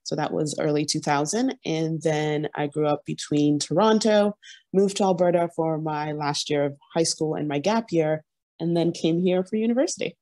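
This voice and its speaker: Woman, 30s, from Nigeria, deep voice, warm dark tone, earnest storytelling, podcast guest on video call. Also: moderate pace, no echo. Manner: earnest, frequent laughter, animated storytelling. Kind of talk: candid interview conversation. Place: home room, indoors.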